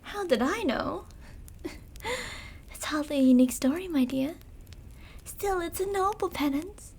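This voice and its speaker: A woman acts out a female vampire commander for an ASMR roleplay, sadistic and possessive. Her voice sits high and she takes her time over each line. The delivery is theatrical and seductive, taunting the listener with pet names. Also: lively intonation, a little mellow. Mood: mocking, condescending. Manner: slow, theatrical, taunting, with terms of endearment. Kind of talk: ASMR roleplay, dramatic monologue.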